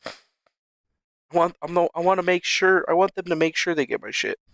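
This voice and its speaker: A man's voice: a little high, lively intonation, brisk, gravelly, a bit bright, dry recording, studio quality. Brash, sarcastic male streamer. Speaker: streamer host. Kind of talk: casual profane rant.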